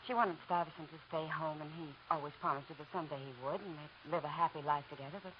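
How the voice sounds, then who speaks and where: A woman's voice: low-pitched, quick pace, natural voice. An actress playing a capable, poised female research assistant with a clipped, urgent manner in an old radio drama. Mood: earnest, urgent. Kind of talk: 1940s radio drama acting. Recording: muffled old radio recording, faint noise.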